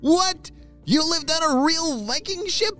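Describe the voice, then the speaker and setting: A man voicing excited young fox pups in a children's story. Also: extremely high pitch, measured pace, faint music behind, pitched above the performer's own voice, full character voice.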